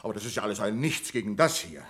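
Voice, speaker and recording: A man's voice: fairly low pitch, expressive, racing, fairly bright tone. A man acting in a German radio play as a put-upon, exasperated bank boss with a plaintive, reproachful manner.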